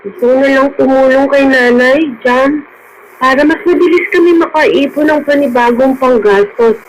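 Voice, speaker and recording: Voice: high voice, moderate pace, close to an everyday voice, rough recording. An actor with a Filipino accent playing a sad, devoted child of a prisoner in a radio drama. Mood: sad, worried. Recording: radio drama with faint noise.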